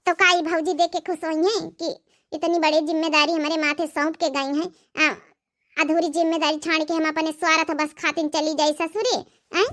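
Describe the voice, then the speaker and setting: A woman's voice: extremely high pitch, steady tone, moderate pace, a bit bright. A woman with an Awadhi accent voicing a stubborn, devoted young aunt who argues firmly in a cartoon story. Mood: firm, defiant. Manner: firm, argumentative. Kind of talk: amateur cartoon story dialogue.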